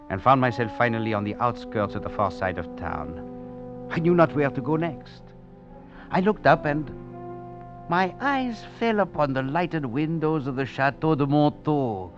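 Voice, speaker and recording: A man's voice: medium pitch, lightly acted. Guy doing a swashbuckling rogue thief from old time radio, swoops all over, muffled recording w a bit of music. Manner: theatrical, grandiloquent. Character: roguish, swaggering male thief and adventurer on the run. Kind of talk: 1940s-50s radio drama acting.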